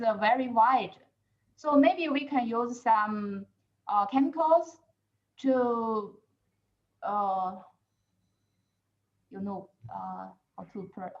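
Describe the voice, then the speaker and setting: A woman's voice: on the high side, dull audio. A woman researcher answering questions on a video call, hesitant and full of fillers as she searches for words. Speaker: researcher presenter. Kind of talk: answering questions in a second language.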